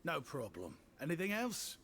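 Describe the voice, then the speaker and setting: Animated, eager, flattering shopkeeper. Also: male voice, on the high side, moderate pace, a little hiss or hum, heavily acted. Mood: generous, easygoing. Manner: theatrical, wordy, ingratiating. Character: eager, flattering, easygoing young male stand-in shopkeeper. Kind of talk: video game voice acting. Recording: game audio under music.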